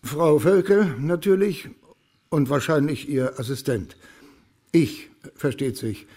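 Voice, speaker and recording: An actor playing a formal, evasive publishing boss in a radio crime drama, measured, courteous and a little patronising. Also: male voice, on the high side, dark tone. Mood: guarded. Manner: measured, stiffly polite.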